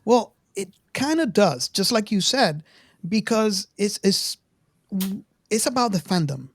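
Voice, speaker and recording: A woman in her fifties hosting a casual film discussion, speaking haltingly and often repeating words as she goes. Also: medium pitch, sing-song, no echo. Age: 50s. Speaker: podcast host.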